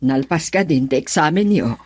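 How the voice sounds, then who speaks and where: A caring mother in a radio drama, Filipino accent. Her voice is deep for a woman and breathy, gently coaxing, with a dry sound. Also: animated, normal speed, dry recording. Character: caring mother of a grown student daughter.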